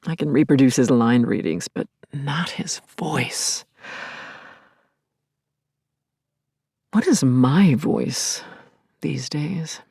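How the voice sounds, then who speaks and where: An actress playing a grieving, lovelorn middle-aged therapist in an intimate audio play monologue, confiding and wry, lingering on words and dropping to murmurs. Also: female voice, rumbling low, takes it slowly, vocal fry, mellow, breathy edge, no room sound, studio quality, heavily acted. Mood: yearning, reverent. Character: grieving, lovelorn woman therapist, self-aware and yearning. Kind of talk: intimate first-person dramatic monologue.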